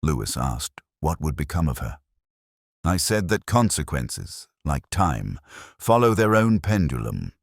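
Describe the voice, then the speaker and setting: A man reading a scripted first-person detective story in a solemn, measured, literary way. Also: extremely low pitch, very animated, creaky, husky, no echo, clean studio sound. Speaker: narrator.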